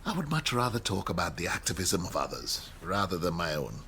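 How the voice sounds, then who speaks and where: Bright-voiced, animated history professor. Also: male voice, deep voice, a little fast, slight background noise, heavily acted. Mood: wry, confiding. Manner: rhetorical, emphatic, wry. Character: outspoken, wry male history professor, provocative and guarded. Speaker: university lecturer. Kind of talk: radio drama acting, lecturing.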